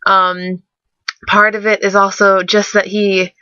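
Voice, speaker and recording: A young woman, sick and rougher-voiced than usual, rambling through fan questions in a casual webcam vlog, self-deprecating and full of fillers. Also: mid-range voice, little pitch movement, takes it slowly, sharp tone, dry recording. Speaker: vlogger. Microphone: webcam mic. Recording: dull lo-fi webcam audio.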